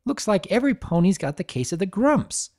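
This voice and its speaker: A man voicing a cheerful, squeaky earth pony mare in a story reading. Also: sing-song, fairly quick, a little mellow. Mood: chirpy. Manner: squeaky, chirpy.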